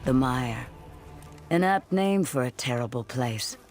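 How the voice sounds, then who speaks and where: A man's voice: medium pitch, lively intonation, unhurried, natural voice. A male actor playing a sombre warrior scout, narrating a game cinematic in clipped phrases. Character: sombre warrior scout recounting a dangerous mission. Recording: loud music behind.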